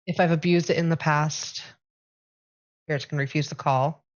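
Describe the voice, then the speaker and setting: A woman's voice: deep voice, fairly even intonation, normal speed, dull audio. A woman playing a tabletop game, plainly explaining her character's abilities and rules. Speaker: player.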